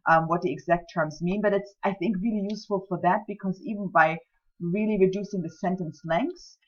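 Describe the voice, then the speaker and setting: German-native lady giving a writing lecture, lowish voice, lots of ums, dull muffled audio. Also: steady tone, brisk. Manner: chatty, many ums, self-deprecating asides. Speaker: writing instructor. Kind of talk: recorded lecture with slides.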